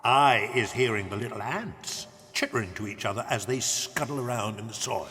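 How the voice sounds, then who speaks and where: An actor playing a gentle, childlike old giant, mangling his grammar and savouring invented words in a children's audio drama. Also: medium pitch, normal speed, in a large hall, natural voice. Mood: proud, wondering.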